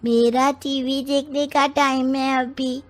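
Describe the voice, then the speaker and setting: A woman voicing a sulky, cheeky little schoolboy who answers back pertly in an animated moral story. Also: sits high, slow, voice pushed up.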